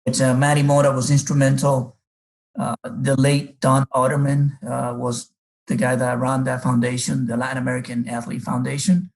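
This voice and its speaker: A man's voice: medium pitch, little pitch movement, normal speed, creaky, low-quality recording. A middle-aged man from the Republic, a baseball coach telling his life story unhurriedly in an interview, with many fillers. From Dominican Republic.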